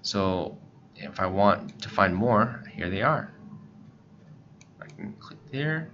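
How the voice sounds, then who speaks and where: Low-voiced American man, slightly muffled recording. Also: animated, takes it slowly, a bit bright. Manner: informal, step-by-step explaining. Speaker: instructor, scanner shop staff. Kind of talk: casual software tutorial narration. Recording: muffled lo-fi voice-over, faint background noise.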